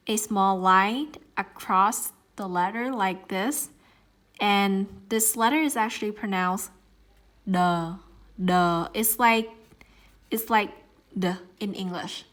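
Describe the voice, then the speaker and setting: Very slow-spoken Southern Vietnamese teacher woman. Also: medium pitch. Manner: repeats each sound several times, gentle and careful. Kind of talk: slow, patient pronunciation lesson.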